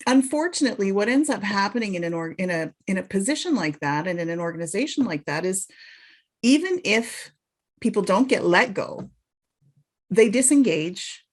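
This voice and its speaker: Podcast guest, a woman, mid-pitched, earnest and impassioned, talks with restarts. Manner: earnest, passionate, with frequent restarts. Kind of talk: personal storytelling in an interview.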